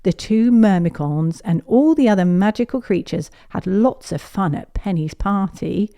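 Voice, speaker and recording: A woman reading a children's picture book aloud as a storyteller, in an expressive, measured way. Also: mid-range voice.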